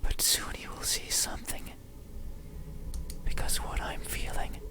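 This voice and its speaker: A performer voicing an ageless cursed being in a horror game, in a breathy, hollow, sorrowful whisper with slow, halting, solemn delivery. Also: lightly acted. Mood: brooding, intense. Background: loud background music.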